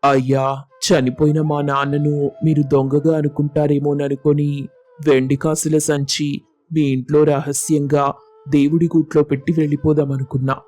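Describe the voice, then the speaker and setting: A man acting a humble, honest young villager in a moral storybook, speaking in a measured, respectful, pleading way. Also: on the high side, little pitch movement, a little mellow, natural voice. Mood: earnest, explaining. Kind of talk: acted moral storybook dialogue.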